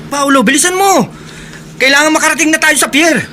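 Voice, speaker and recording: Worried young man, very high voice. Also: a little fast, bright, forceful tone, slight background noise. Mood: alarmed. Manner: tense, anxious. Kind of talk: horror radio drama acting.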